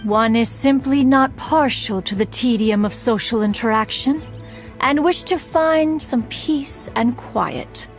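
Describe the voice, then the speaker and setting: Aloof immortal hermit, proud, archaic formal diction, very slow delivery, video game character, music behind. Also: female voice, fairly high pitch. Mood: aloof, impatient. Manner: haughty, formal, archaic, measured. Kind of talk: theatrical video game voice acting.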